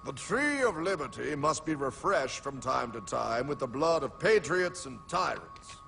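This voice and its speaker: A man voicing a stately old-time American president in a cartoon, speaking in grave, measured oratory. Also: medium pitch, big pitch swings, creaky, a little hiss or hum, heavily acted. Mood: solemn, stately. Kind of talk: animated cartoon dialogue. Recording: loud music underneath.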